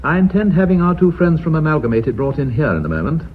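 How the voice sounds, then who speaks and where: A man's voice: a little high, very animated, quick pace, slightly rough. An actor with a British accent playing a composed, polite and formal company chairman in a radio drama. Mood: calm, in control. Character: courteous, composed senior knighted company chairman. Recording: dull, slightly noisy radio drama recording.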